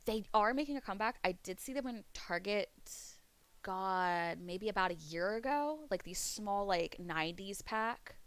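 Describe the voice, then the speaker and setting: A woman streamer chatting casually on a live webcam stream, rambling, laughing often, and going hoarse as she loses her voice. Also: mid-range voice, expressive, moderate pace, no room sound. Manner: rambling, laughs often, reads chat aloud. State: losing her voice. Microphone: desk mic on a webcam stream.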